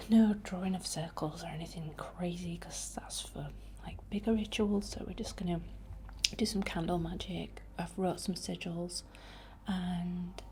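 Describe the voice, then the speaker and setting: Slow, whispering woman, ASMR. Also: medium pitch, a little hiss or hum. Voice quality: whispery, breathy. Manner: gentle, unhurried, informal. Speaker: ASMR host guiding a healing session. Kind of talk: whispered ASMR guided meditation.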